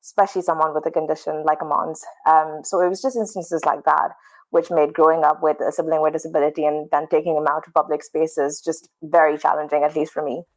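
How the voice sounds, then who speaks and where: Low-voiced woman from Pakistan, native Urdu. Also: level tone, a little fast, rough recording. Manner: reflective, earnest storytelling. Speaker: podcast guest. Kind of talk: casual conversation.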